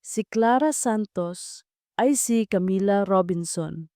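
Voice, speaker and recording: A woman's voice: mid-range voice, lively intonation, takes it slowly, mellow, clean tone, no echo, studio quality. A Filipino woman voicing a formal attorney in a dramatic story, announcing news with emphasis. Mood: formal, emphatic.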